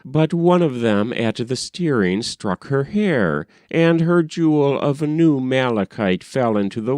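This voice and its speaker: A man narrating an ancient tale as an audiobook reader, in a measured, solemn storytelling manner. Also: mid-range voice, darkish tone, no echo, clean studio sound.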